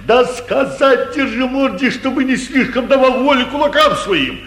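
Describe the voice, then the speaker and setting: An actor playing a flustered, blustering, corrupt town governor, commanding and agitated, in a 1940s stage comedy for radio. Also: male voice, extremely high pitch, normal speed, fairly bright tone. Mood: harried. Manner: commanding, agitated, muttering prayers and curses. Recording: roomy old 1940s radio recording.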